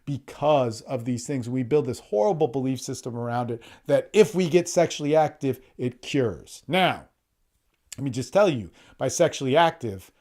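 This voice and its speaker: A man's voice: medium pitch, expressive, normal speed, darkish tone. A man hosting a solo podcast, talking bluntly and profanely, often restarting words and acting out quotes. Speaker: podcast host and coach.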